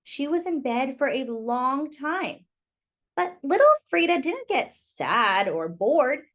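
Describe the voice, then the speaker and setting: A woman's voice: high-pitched, big pitch swings, takes it slowly. A woman reading a picture book aloud to young children, expressively and with little asides to her listeners.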